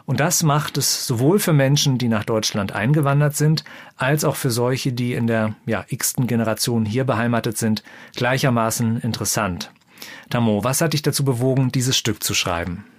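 Man, German-language interviewer, measured, informative delivery, mid-pitched voice. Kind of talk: interview conversation.

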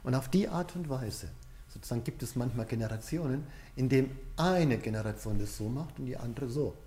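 Mellow-voiced man giving a coaching talk. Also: medium pitch, fairly quick. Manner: conversational, addresses the listener directly with rhetorical questions. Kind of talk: explanatory coaching talk, informal and direct address.

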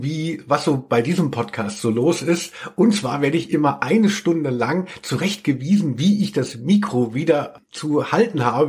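A man's voice: on the high side, husky. A man co-hosting a casual comedic podcast in a playful, tongue-in-cheek way. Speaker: podcast co-host.

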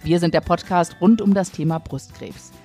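A woman co-hosting a podcast, delivering a scripted intro in a measured, earnest way. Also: on the low side, mellow, faint music behind. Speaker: podcast co-host.

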